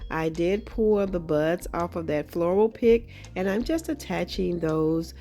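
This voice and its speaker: A woman hosting a craft tutorial, slightly hoarse from a cold, talking in a friendly, chatty way with frequent ums. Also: fairly low pitch, lively intonation, a little slow, mellow. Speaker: craft channel host. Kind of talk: casual craft tutorial narration.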